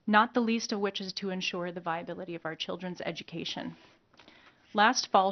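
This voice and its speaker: A woman running for office, giving a prepared, purposeful opening statement at a forum. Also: medium pitch. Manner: purposeful, polished. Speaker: political candidate. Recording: dull lo-fi broadcast audio.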